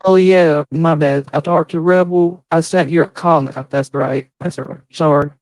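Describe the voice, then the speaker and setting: A man's voice: a little high, steady tone, moderate pace, darkish tone, no room sound, poor audio. A man in a casual livestream chat, hosting and speaking in a profane, aggrieved, defensive way. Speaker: livestream host.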